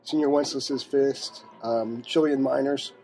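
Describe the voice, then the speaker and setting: A man's voice: mid-range voice, steady tone, darkish tone, a little hiss or hum, poor audio. A man hosting a casual podcast chat from a restaurant, talking in a chatty, easygoing way. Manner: chatty and quick to laugh. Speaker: podcast host.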